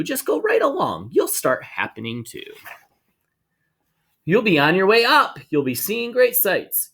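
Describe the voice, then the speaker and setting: A man reading a rhyming children's book aloud to schoolchildren in an expressive, sing-song way, drawing out words for emphasis. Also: on the high side, gravelly. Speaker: storybook reader for schoolchildren.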